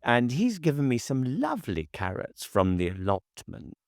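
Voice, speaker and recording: Male narrator as shrill older English busybody woman, loud, reedy, chattering, indignant, very high-pitched storybook character. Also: huge pitch swings, normal speed, no room sound, clean studio sound. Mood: chirpy, chatty. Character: nosy, bustling older English housekeeper woman, chattering and fussy. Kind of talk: audiobook character voice.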